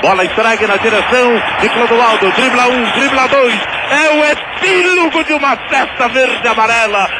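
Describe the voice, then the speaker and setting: A man with a Brazilian accent calling a live football match on the radio, in a rapid, emphatic play-by-play with exclamations. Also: very high-pitched. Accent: Brazilian Portuguese. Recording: noisy archival radio broadcast.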